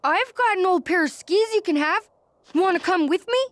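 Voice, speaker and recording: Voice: extremely high pitch, lively intonation, normal speed, noisy background. An actor voicing a friendly, helpful, outdoorsy country kid in a children's cartoon, chatty and eager. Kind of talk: cartoon voice acting.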